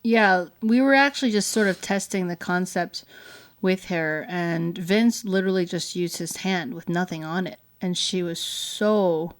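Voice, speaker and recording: A woman's voice: on the low side, a little slow. A woman co-hosting a family show as a parent, speaking in a casual, conversational style.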